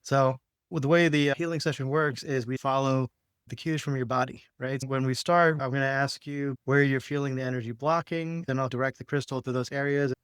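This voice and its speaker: A man's voice: medium pitch, smooth, dry recording. A man speaking casually and in a laid-back way about spiritual matters, as a podcast host and healer guiding a session.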